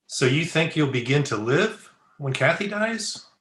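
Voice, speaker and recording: A man's voice: fairly high pitch, very animated, unhurried, a bit bright, a little hiss or hum. An actor playing a bitter, broken, drink-sodden man in an old-time gothic radio drama, accusing and theatrical. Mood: bitter, goading. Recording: roomy, echoing home video-call recording.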